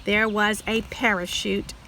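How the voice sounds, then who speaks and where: A woman reading picture books aloud to young children, explaining directly to her listeners with expressive delivery. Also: medium pitch, measured pace, a little hiss or hum, rough recording. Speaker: storybook reader for children. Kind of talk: read-aloud picture book narration.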